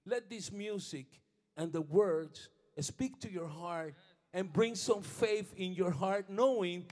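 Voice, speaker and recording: High-voiced bandleader on stage. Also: male voice, takes it slowly. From Puerto Rico. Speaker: bandleader and bassist. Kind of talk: onstage band introductions and spiritual encouragement. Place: indoor studio stage with a band. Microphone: stand microphone while playing bass.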